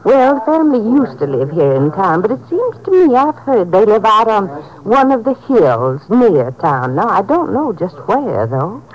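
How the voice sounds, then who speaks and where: A woman's voice: mid-range voice, big pitch swings, normal speed, few pauses, natural voice. An actress playing a chatty, fussy middle-aged dress shop saleswoman in a 1950s radio drama, gossipy, hesitant and eager to help. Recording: noisy, dull 1950s radio recording.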